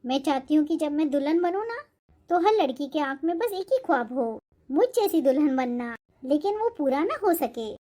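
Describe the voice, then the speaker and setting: High-pitched, haughty young bride-to-be voiced by a woman. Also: lively intonation, moderate pace, warm tone. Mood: demanding, haughty. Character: spoiled, boastful rich young bride-to-be Radhika. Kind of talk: Hindi cartoon moral-story voice acting.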